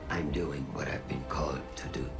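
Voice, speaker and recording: A woman playing a cold, messianic commander of machines in a video game, with a breathy, creaky voice and a grandiose, menacing, measured manner. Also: extremely low pitch, fairly even intonation. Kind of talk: video game villain monologue. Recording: loud music under the voice.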